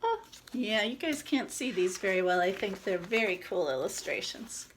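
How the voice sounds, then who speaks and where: A woman's voice: mid-range voice, animated, normal speed, slight background noise, poor audio. A woman reading a picture book aloud expressively, with informal asides as she points out the illustrations.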